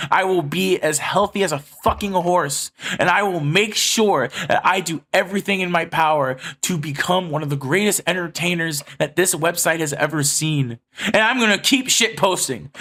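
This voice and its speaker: A man's voice: high-pitched, pushed and bright. A man speaking earnestly and emphatically to his viewers in a personal monologue, swearing freely. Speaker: video creator addressing subscribers.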